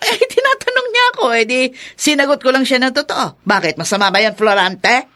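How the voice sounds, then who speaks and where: A woman's voice: sits high, lively intonation, a little fast. A woman playing a haughty, contemptuous wealthy mother in a melodramatic audio drama. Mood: scornful, mocking. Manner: sharp, contemptuous, imperious. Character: haughty, scornful wealthy mother who belittles her son. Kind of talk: melodramatic radio-style drama acting.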